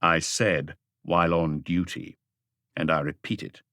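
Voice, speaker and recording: A man reading the part of a calm, authoritative police chief inspector in an audiobook, measured and matter-of-fact. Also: sits low, no echo, clean studio sound, full character voice. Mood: firm.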